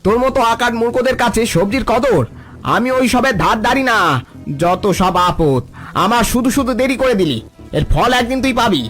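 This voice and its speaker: Man acting an arrogant, very high-pitched rich villager. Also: animated, moderate pace, fairly bright tone, soft background music. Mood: irritated, contemptuous. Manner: haughty, scolding, boastful. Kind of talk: cartoon voice acting.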